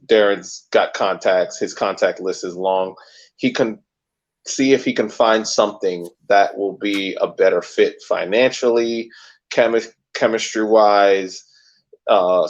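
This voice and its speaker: Low-voiced man on a dull mic. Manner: measured, hesitant, lots of ums. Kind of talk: casual sports chat.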